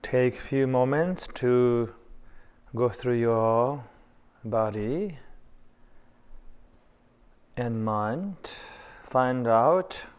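A man leading a guided meditation in short phrases spaced with pauses. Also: mid-range voice, a little mellow, smooth.

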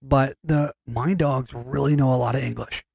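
A man's voice: medium pitch, steady tone, normal speed, vocal fry, darkish tone, husky, no echo, studio quality. A man co-hosting a podcast, chatting casually in a rambling way, swearing freely and restarting his sentences. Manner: rambling, profane, many restarts. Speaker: podcast co-host. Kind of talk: casual chat.

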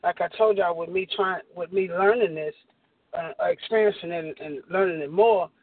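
A woman caller with a deep, masculine-sounding voice, talking candidly about her family life. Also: moderate pace, no echo. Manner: candid, personal storytelling. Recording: dry tinny phone line.

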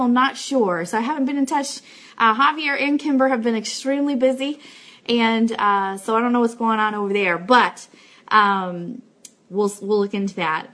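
A woman's voice: on the high side, a bit bright. A woman co-hosting a casual live online show, chatty and effusive as she speaks to her viewers. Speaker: co-host and founder of a radio show.

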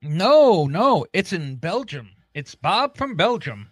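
A man's voice: sits high, sing-song, slow pace, breathy edge, dry recording. A man co-hosting a comedy podcast, reading odd news with sweary, teasing, casual banter.

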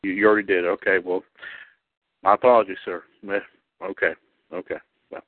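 A man in his thirties hosting a casual online radio show about wrestling. He talks haltingly, with many fillers and restarts. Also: deep voice, flat delivery, dry recording. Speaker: podcast host. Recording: tinny phone-line sound, dry.

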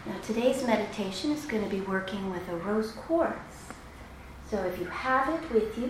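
Woman leading guided meditation in echoey room. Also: lowish voice. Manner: slow, soothing, instructive delivery with long pauses. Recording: very echoey room, clearly noisy background.

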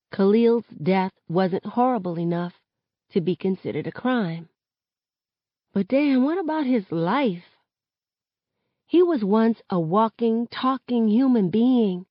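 An impassioned teenage girl, played by a woman reading an audiobook, grieving and angry. She speaks slowly and rawly, in a slightly muffled, roomy recording. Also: medium pitch, pitched above the performer's own voice. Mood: bitter grief. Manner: raw, impassioned, sweary. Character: grieving, furious teenage girl who tells the story. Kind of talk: first-person audiobook narration.

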